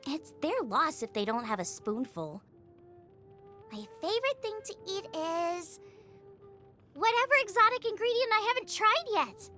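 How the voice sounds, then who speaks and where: An eager teenage girl cook from a video game, her voice extremely high and swooping with animation. She speaks with perky, earnest enthusiasm, and music plays underneath. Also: big pitch swings, a little slow, sharp tone, full character voice. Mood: disappointed. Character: bubbly, eager teenage girl chef and adventurer. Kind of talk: scripted video game character voice lines.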